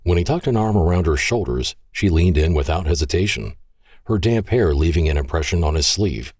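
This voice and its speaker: A man giving expressive scripted narration for an audio drama. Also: low-pitched, a little fast, no room sound, studio quality. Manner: expressive, shifting into character voices.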